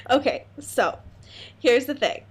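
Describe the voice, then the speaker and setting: A young woman with a fairly high voice chats as a podcast co-host. Her delivery is casual and comedic, full of slang and playful jokes, with some offhand swearing. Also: breathy edge.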